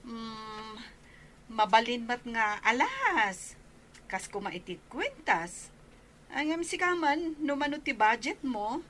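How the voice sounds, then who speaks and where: A woman's voice: on the high side, big pitch swings, slow, sharp tone, exaggerated voice. A woman playing a helpful big-sister adviser in a radio drama, with an Ilocano Filipino accent. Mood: helpful, musing.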